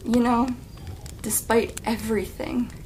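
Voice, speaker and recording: Woman playing a pleading, high-voiced femme fatale. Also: steady tone, unhurried, noisy background, close to an everyday voice. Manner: pleading, breathless. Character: pleading deceitful young woman Brigid. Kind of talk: community radio play acting.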